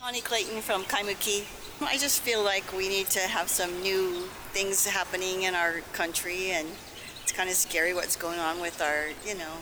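A woman speaks off the cuff as an interviewee. Her pitch is average, and there is clear ambient noise around her on location. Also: steady tone, moderate pace. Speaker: voter interviewee. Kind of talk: casual vox pop. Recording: noisy outdoor street interview.

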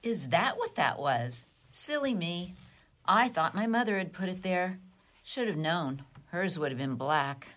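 Radio comedy whodunit casting: actress for sardonic, haughty woman suspect, slightly low voice, wry and defiant. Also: expressive, a little slow, fairly bright tone. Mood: flippant, wry. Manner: wry, haughty, clipped retorts. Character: defiant, flippant, sardonic woman under police questioning. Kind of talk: comedic radio play dialogue.

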